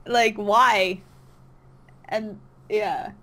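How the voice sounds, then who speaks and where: A woman's voice: mid-range voice, steady tone, extremely slow, heavy background noise. A woman chatting casually as an interview guest, agreeable and hesitant, often trailing off. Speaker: interview guest, online comic dub creator. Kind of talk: casual chat.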